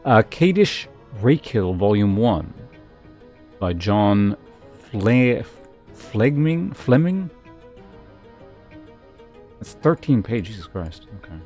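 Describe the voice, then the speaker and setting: A man's voice: lowish voice, sing-song, takes it slowly, pauses often, a little mellow, soft background music. A man streaming a game, informally commenting on play and reading its text aloud, with muttered asides.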